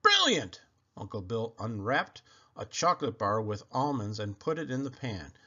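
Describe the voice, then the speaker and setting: A man reading a children's picture book aloud in an expressive, animated and deliberate storytelling manner. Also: lowish voice, dull audio.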